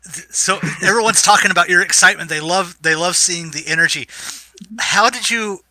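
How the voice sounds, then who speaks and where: An interview host asking relaxed, encouraging questions. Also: mid-range voice, fairly quick, no room sound, low-quality recording. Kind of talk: interview hosting.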